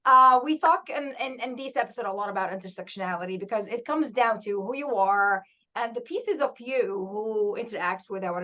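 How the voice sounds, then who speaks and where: A woman hosting an interview, affirming her guest and talking casually with frequent fillers. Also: mid-range voice. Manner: warm, affirming, frequent "you know" fillers. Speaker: podcast host, interviewer. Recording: slightly dull audio.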